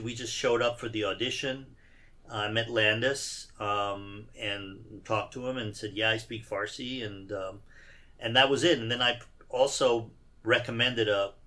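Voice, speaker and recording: A man with a bright, forceful voice talks easily about his acting work. He answers at a relaxed, conversational pace, slipping in dry jokes between fillers. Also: lowish voice. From Iran. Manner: easygoing, dry humor, many fillers. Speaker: actor guest. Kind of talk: casual interview answers.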